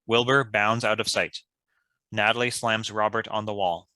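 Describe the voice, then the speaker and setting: A man's voice: lowish voice, flat delivery, moderate pace, pushed and bright, dry recording. A man reading stage directions as scripted narration.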